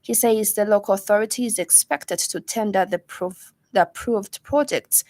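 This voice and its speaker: A woman with a Zambian accent reads a scripted radio news bulletin in a formal, measured way. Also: mid-range voice, darkish tone, no room sound. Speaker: news reader.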